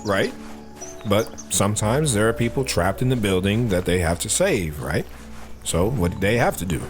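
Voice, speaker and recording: A man's voice: fairly low pitch, expressive, moderate pace, lightly acted. An actor playing a protective soldier father who talks patiently and earnestly to his daughter in an audio drama. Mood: patient, serious. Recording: music behind the voice.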